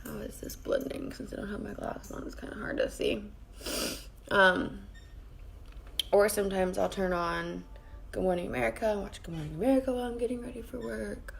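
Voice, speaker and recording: A woman with a raspy voice, chatting casually with her viewers while she does her makeup at her vanity at home. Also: mid-range voice, a little fast, a little hiss or hum. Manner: chatty, greets viewers by name and rambles while working. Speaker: makeup vlogger. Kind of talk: casual live-streamed makeup tutorial. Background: faint background music.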